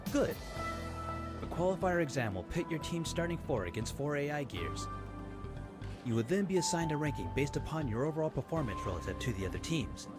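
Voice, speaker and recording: A man's voice: fairly high pitch, big pitch swings, fairly quick, music in the background. A man voicing a composed, courteous male professor who lectures a class formally in a visual novel game.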